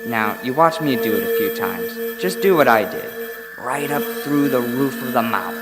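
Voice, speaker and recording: A man's voice: mid-range voice, animated, normal speed, over loud music, close to an everyday voice. Man acting a smug mad doctor in an echoey hall. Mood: patronizing, genial. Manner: smooth, patronizing, casually menacing. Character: sinister, smugly genial male mad doctor. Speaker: stage actor in a live audio drama. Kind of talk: live audio drama acting. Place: indoor hall, live stage performance. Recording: echoey hall, noisy live stage recording.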